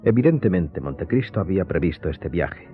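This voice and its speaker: A man's voice: deep voice, sing-song, brisk, soft background music. A man reading scripted narration for a Spanish radio drama. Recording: muffled phone-like old radio sound.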